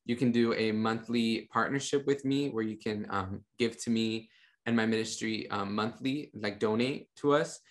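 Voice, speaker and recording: A young man on a video call, a podcast guest talking about his ministry in a relaxed, rambling way with many fillers. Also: lowish voice, normal speed. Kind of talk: casual interview talk. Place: home room with monitors. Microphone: studio mic on a video call.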